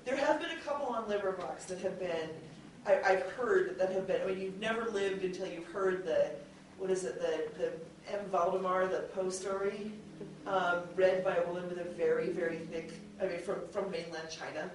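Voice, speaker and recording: A woman's voice: on the low side, normal speed. A woman hosting an audio drama panel at a convention, rambling and correcting herself as she goes, often laughing. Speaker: podcast host and audio drama writer. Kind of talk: panel discussion. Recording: very echoey room, distant mic, faint noise.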